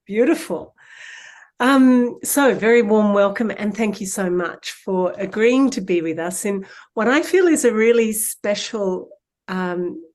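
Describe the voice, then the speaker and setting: A woman hosting an unscripted conversational interview in an affirming, gently reflective way. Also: medium pitch. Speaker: interview host.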